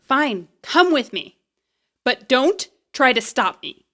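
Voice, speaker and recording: A woman's voice: high voice, very animated, takes it slowly, bright, forceful tone, full character voice. A woman in an audio drama playing a stubborn, flippant, loyal repairperson with a casual, wry, defiant way of talking.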